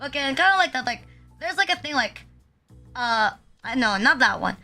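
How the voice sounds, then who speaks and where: A woman's voice: high voice, animated, normal speed, bright, forceful tone, faint music behind. A young woman from the Philippines chatting casually on a livestream, rambling with constant fillers and frequent swearing. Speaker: VTuber streamer.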